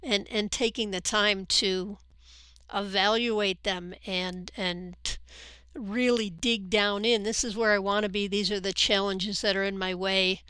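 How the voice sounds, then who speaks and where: A woman's voice: mid-range voice, sharp tone, clear, resonant tone, no echo. A woman hosting a podcast interview, talking with enthusiasm and often repeating words as she thinks. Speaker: podcast host. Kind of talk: conversational interview.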